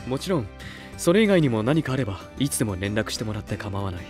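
An actor playing an earnest, gentle music label head in an anime voice drama, speaking sincerely and encouragingly. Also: male voice, lowish voice, very animated, quick pace. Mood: supportive. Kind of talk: Japanese anime voice drama acting.

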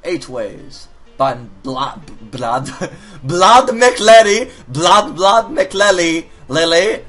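A man's voice: a little high, very slow, faint music behind. A man casually commenting while he plays a puzzle game, reading the hints aloud and joking as he goes. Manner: animated, reads on-screen hints aloud, jokey outbursts. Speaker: gaming video host. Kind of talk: casual let's-play commentary.

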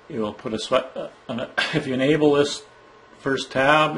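A man of ordinary pitch talks through an equipment setup menu, one step at a time. His delivery is plain and instructive, with a few restarts. Faint background noise sits behind his voice. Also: fairly bright tone, low-quality recording. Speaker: product trainer. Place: indoor room, filming a monitor screen.

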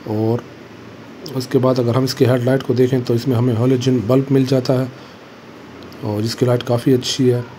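A man's voice: medium pitch, little pitch movement, dark tone, slight background noise. A young man giving a casual walkaround review of a motorbike, talking plainly with some fillers. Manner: plain, matter-of-fact, pauses with fillers. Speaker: motorbike vlogger. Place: indoor motorbike showroom.

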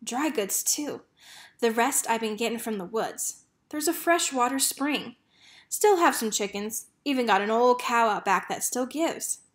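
A woman's voice: a little high, animated, unhurried. A woman voicing a loving, devout mother from a storybook, with tender, folksy rural speech. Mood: joyful, devout.

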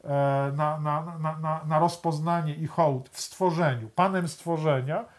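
A man's voice: fairly high pitch, fairly even intonation, measured pace. Older man talking with many restarts. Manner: thoughtful, many restarts and hesitations. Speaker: author and guest. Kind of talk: studio discussion. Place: TV studio.

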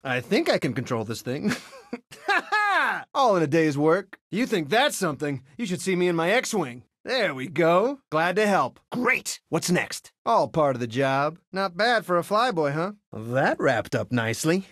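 An actor playing a cocky, friendly young resistance pilot in a video game, breezy and wisecracking. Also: male voice, on the high side, big pitch swings, unhurried, fairly bright tone, no echo, clean studio sound, exaggerated voice. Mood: pleased, cocky. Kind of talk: video game character voice clips.

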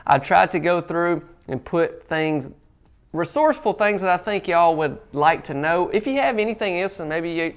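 A young man hosts a home-tour video, talking casually and warmly to camera. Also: a little high. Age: young adult. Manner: friendly, chatty, animated with hand gestures. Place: indoors at a kitchen counter. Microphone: clip-on lapel mic.

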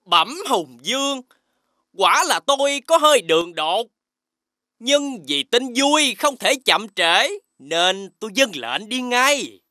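A haughty cartoon envoy, high and sharp, swooping through ceremonious phrases. The manner is pompous and insinuating, with a threat underneath. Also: female voice, big pitch swings, slow, dry recording, clean studio sound, exaggerated voice. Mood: smug, flattering. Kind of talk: animated fairy tale acting.

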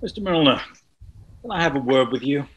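A man plays a bullying, arrogant music teacher in a table read. His tone is sharp and animated, swinging widely in pitch as he condescends and goads. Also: fairly quick, breathy edge. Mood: cold, stern. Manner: condescending, goading. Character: arrogant, intimidating male music instructor, older, bullying. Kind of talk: script table read acting. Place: bright room at home, on video call. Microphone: computer mic on video call.

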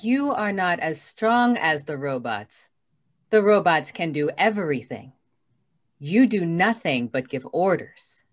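A woman plays a rebellious robot in an old-time radio drama. The voice sits low and speaks in flat, blunt declarations, cold and resentful of humans. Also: unhurried. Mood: defiant, commanding.

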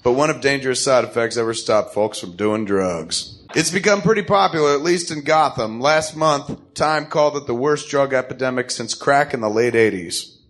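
A man's voice: mid-range voice, moderate pace, a bit bright, close to an everyday voice. A man playing a wry, matter-of-fact hired killer in a comic-book radio drama reading, speaking casually. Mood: explanatory. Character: gruff, businesslike male hired killer, grimly wry.

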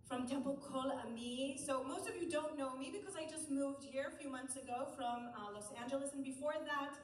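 A woman cantor with an Israeli accent, whose first language is Hebrew, introduces a song from the stage of a large hall full of people, speaking in a halting way with many fillers. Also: high-pitched, a little hiss or hum. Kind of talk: live concert stage introduction. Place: large hall with a seated audience. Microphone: far camera at the back of the hall. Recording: echoey hall heard from far off.

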